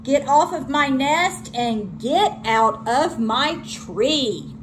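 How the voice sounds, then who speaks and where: A woman voicing a lazy, selfish female bird in a children's storybook, exclaiming in a shrill sing-song. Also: expressive, drawn-out words, background noise, heavily acted. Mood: indignant.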